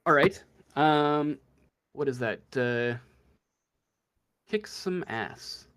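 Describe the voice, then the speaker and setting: A man acting as game master, improvising a tabletop story in a casual, halting way as he searches for words. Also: on the high side, lively intonation, a bit bright, clean tone.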